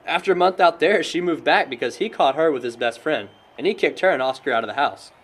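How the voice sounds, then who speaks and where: Young American male actor as a gossipy, sarcastic pal for audio drama, slightly high voice, very animated melody. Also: fast. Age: young adult. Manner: wry, chatty, sarcastic. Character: wry, gossipy young man defending and teasing friends. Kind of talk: conversational audio drama acting.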